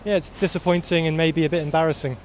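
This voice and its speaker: Brisk, fairly high-voiced man outdoors. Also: flat delivery. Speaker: passer-by. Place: outdoors on a city street.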